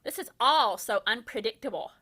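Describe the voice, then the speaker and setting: A woman voicing an earnest, excitable ordinary married man in a storybook, full of exclamation and wonder. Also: fairly high pitch, moderate pace, breathy edge, heavily acted. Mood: flustered.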